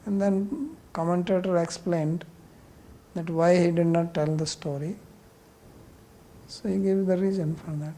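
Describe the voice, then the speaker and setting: Indian-accented male spiritual teacher, dark mellow tone, direct and explanatory. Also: high-pitched, a little slow, long pauses. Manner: blunt, questioning, explanatory. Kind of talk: scripture class question and answer.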